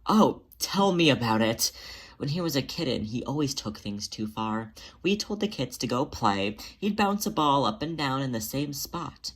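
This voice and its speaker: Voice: medium pitch, expressive, moderate pace, husky. A narrator voicing a motherly female cat who is a healer, speaking in a patient, soothing and reassuring way. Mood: amused exasperation.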